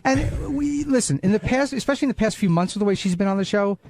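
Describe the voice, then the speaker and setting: Defensive, high-pitched fast-talking man. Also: animated, darkish tone, dry recording, studio quality. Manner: defensive, blunt, repeats himself. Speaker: radio show staffer and guest. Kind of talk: heated casual radio chat.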